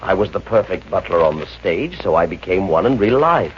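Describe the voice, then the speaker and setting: An actor playing a jolly old-vaudeville comic who poses as a proper English butler in a 1950s radio comedy. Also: male voice, deep voice, big pitch swings, fairly quick. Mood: confiding, jovial. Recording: muffled, noisy old radio recording.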